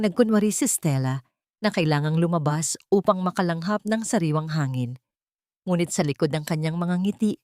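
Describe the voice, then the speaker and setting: A woman with a Filipino accent reads a scripted dramatic story in a measured, solemn storytelling manner. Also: lowish voice, warm tone, dry recording, clean studio sound. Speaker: story narrator.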